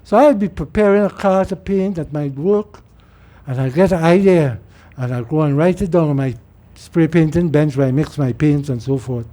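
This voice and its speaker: A man's voice: a little high, moderate pace, dark tone. A middle-aged man from Trinidad with a Trinidadian accent, giving a rambling informal talk and repeating words as he restarts. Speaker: political speaker. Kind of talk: informal monologue.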